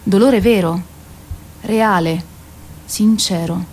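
A woman's voice: mid-range voice, slow, a little mellow, slight background noise, lightly acted, low-quality recording. Radio drama: actress as weary, proud waiting queen of myth, mid-range voice, theatrical delivery with bitter irony. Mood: sorrowful. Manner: declamatory, ironic, lingering on repeated words.

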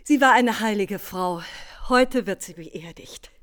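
An actress in a German radio play playing a talkative, simple, devout older housemaid who is naively money-minded. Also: female voice, medium pitch, unhurried, fairly bright tone, close to an everyday voice. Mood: confiding, chatty.

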